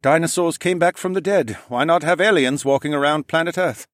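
A man's voice: on the high side, fairly quick, fairly bright tone, no room sound, clean studio sound, voice pushed up, close to an everyday voice. A man voicing a nervous, exhausted French engineer in his thirties, hesitant and stammering, in an audiobook story. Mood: resigned.

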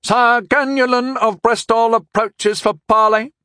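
A man's voice: high-pitched, takes it slowly, a bit bright, no room sound, studio quality, pitched above the performer's own voice, heavily acted. A man voicing a bold, brash teenage herald knight in an audiobook, declaiming formally in a cracking adolescent voice. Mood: boastful proclaiming. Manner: declamatory, overbold.

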